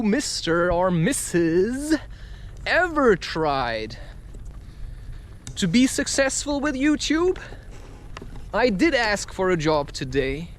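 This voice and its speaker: High-pitched, animated man rambling slowly outdoors. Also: slight background noise. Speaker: vlogger recording a spoken-word podcast. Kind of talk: rambling, confessional monologue to listeners. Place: outdoors, recorded while walking.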